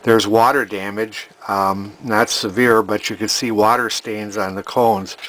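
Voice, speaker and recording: Male, lowish voice, casual workbench narration, hesitant, rambling, thinking aloud. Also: fairly even intonation, unhurried, fairly bright tone, rough recording. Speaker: vintage electronics repair hobbyist.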